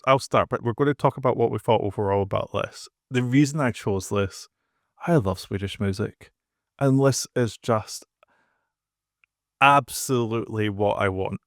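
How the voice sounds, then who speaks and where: A man's voice: lowish voice, normal speed, no room sound, clean studio sound. A man hosting a podcast, chatting casually and sharing his opinions. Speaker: podcast host.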